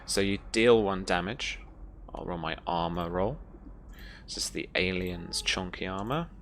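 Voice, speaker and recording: Game master for tabletop sessions: very low, gravelly voice, conversational descriptive delivery, slight background noise. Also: measured pace. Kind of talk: narrating a tabletop role-playing game.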